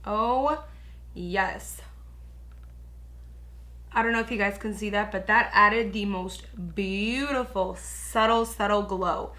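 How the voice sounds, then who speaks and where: A young woman chatting through a makeup tutorial with a raspy, hoarse voice, gushing and peppering her talk with filler words. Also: medium pitch, slow, pauses often, sharp tone. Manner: gushing, chatty, lots of "like" and "you guys". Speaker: beauty vlogger. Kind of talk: casual makeup tutorial chat.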